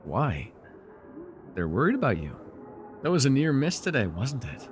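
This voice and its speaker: A man's voice: mid-range voice, sing-song, moderate pace, music in the background, natural voice. A man playing a villainous nightmare lord in an ASMR fantasy roleplay, sly, taunting and flirtatious, with a theatrical, teasing, mockingly sweet manner. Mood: mocking, insinuating.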